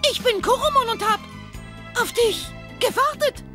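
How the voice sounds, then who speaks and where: An actor voicing a small, affectionate, childlike creature with a squeaky voice in a German children's audio drama. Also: normal speed, music in the background. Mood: eager.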